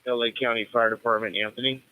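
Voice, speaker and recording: A man's voice: medium pitch, steady tone, fast, narrow, tinny audio. An American man acting as net control, running a radio roll call in a formal, procedural manner.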